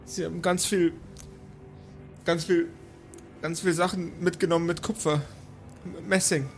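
Stammering, shaken survivor played by a man, slightly high voice, repeating phrases, improvised audio game. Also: little pitch movement, a little slow, faint music behind.

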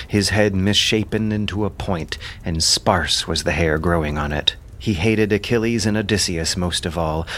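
A man's voice: sits low, fairly bright tone. A man giving solemn, declamatory scripted narration of an ancient epic as an audio drama.

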